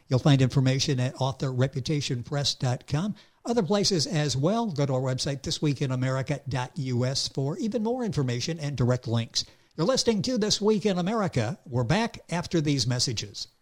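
A man's voice: fairly high pitch, lively intonation, fairly quick. A man hosting a radio program, interviewing a guest in a friendly, conversational way. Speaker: radio show host.

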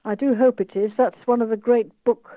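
A woman's voice: fairly high pitch, steady tone, brisk, no room sound. A woman in her eighties from the United Kingdom, an author and tour guide speaking enthusiastically in an interview over a video call. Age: 80s. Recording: tinny phone-like call line.

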